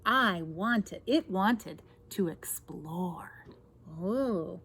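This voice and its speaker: A woman reads a picture book aloud expressively, pausing to put guiding questions to young listeners. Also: mid-range voice, animated, a bit bright, husky. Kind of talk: expressive children's read-aloud with asides. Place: outdoors in a backyard garden. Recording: outdoor recording, faint noise, birdsong. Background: birdsong, faint outdoor noise.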